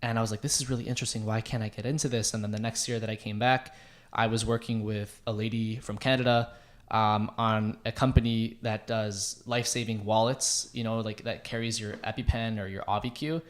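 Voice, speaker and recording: A man's voice: on the low side, little pitch movement, a little fast. A young man, a student club president, chatting on a podcast in an upbeat, promotional way. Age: young adult. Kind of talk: casual podcast chat.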